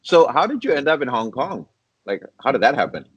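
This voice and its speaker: A male host asks loose, friendly questions with plenty of fillers. His voice comes across dull and muffled in a dead-dry space, at a middling pitch. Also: sing-song, a little fast, husky, dry recording. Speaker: podcast host. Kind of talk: casual interview.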